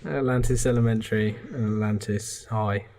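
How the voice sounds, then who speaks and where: A man's voice: lowish voice, steady tone, moderate pace, mellow. A man with an English accent co-hosting a podcast, flippantly throwing remarks into a casual brainstorming chat. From England. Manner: flippant, interjecting. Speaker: podcast co-host.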